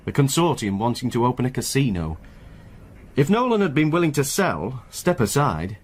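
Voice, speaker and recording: An actor playing a guarded, courteous financial adviser in a radio drama, formal and defensive. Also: male voice, mid-range voice, very animated, normal speed. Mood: explaining. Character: guarded, courteous male professional adviser under questioning. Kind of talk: modern radio drama acting.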